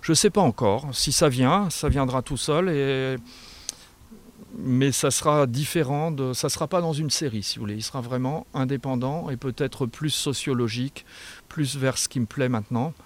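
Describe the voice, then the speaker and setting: A man's voice: mid-range voice, moderate pace. A man speaking as a guest author in a radio interview, answering in a reflective, hesitant way with trailing phrases. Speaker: guest author and small publisher.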